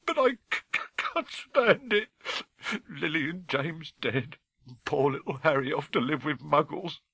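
A man's voice: lowish voice, animated, normal speed, fairly bright tone, husky, no echo. A man voicing a huge, shaggy, tender-hearted giant who is humble, rustic and emotional, in an audiobook. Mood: sobbing. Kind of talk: audiobook character voice.

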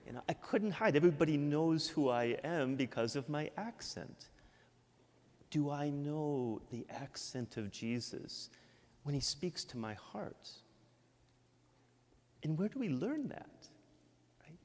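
A man's voice: fairly high pitch, expressive, slow pace, pauses often, darkish tone. A Midwestern American man from Michigan preaching a sermon as a priest, talking in a conversational way and often adding "right?". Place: church. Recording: long church reverb.

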